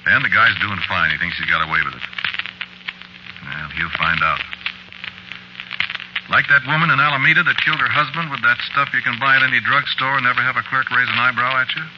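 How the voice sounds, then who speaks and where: A man's voice: medium pitch, brisk, long pauses, close to an everyday voice. An American actor playing a world-weary murderer who tells his story in a folksy, knowing, conversational way in a 1940s radio drama. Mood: ominous, knowing. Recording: noisy, muffled 1940s radio recording.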